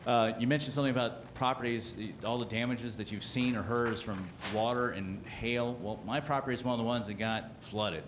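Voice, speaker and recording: A man's voice: mid-range voice, steady tone, brisk, hardly any pauses, noisy background. A man making a blunt, frustrated public comment at a community meeting.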